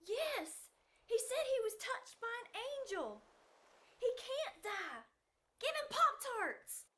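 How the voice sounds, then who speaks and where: A woman's voice: extremely high pitch, huge pitch swings, slow, bright, forceful tone, exaggerated voice. A woman playing an insistent, emotional young woman, an urgent loyal friend in an audio drama.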